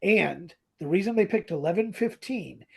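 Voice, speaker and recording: A man co-hosting a podcast, casually retelling a story in an animated way. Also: high-pitched, moderate pace, creaky, breathy edge. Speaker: podcast co-host. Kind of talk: casual chat, retelling a radio drama.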